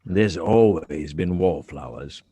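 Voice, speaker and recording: A man's voice: low voice, takes it slowly, mellow, breathy edge, no echo, heavily acted. A man playing a genial middle-aged father in a live radio drama reading, friendly and inquisitive.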